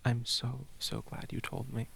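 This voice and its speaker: A man playing a gentle, doting older brother in an intimate ASMR roleplay, speaking softly and tenderly and slipping into whispers. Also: medium pitch, flat delivery, measured pace, gravelly, a little hiss or hum, full character voice, poor audio. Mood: tender, reassuring. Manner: soft, hushed, tender, breaking into whispers. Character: gentle, doting older brother comforting his little sibling.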